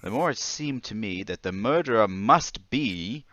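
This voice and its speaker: Guy acting a nervous polite little suspect man, old radio drama, stammery, bright lively pitch, stubborn underneath. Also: a little slow, no room sound, lo-fi sound. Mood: earnest, insistent. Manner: hesitant, courteous, stammering. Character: meek, nervous, polite male murder suspect, quietly stubborn.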